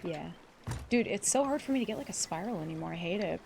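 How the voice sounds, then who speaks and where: A woman livestreaming a game, giving chatty, excitable commentary and swearing freely. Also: lowish voice, fast talker, creaky, a little hiss or hum. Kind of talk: casual gameplay commentary.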